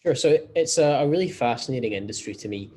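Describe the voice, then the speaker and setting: A man with a Scottish Glasgow accent, a startup founder calmly explaining his software in a remote interview, with a few fillers. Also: medium pitch, husky. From Scotland. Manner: measured, explanatory, frequent fillers. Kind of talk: conversational interview.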